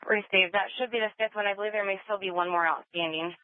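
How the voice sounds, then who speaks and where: A man's voice: high voice, fairly even intonation, fast, background noise. A man working as a police dispatcher, repeating reports over the radio in a clipped, procedural way. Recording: tinny narrow-band police scanner audio.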